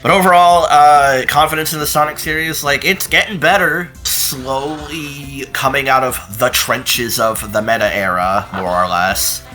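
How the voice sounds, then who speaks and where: A man's voice: mid-range voice, fairly even intonation, a little slow, hardly any pauses, sharp tone, faint music behind. A man hosting an informal, casual opinion chat, talking loosely with a co-host. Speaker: channel host.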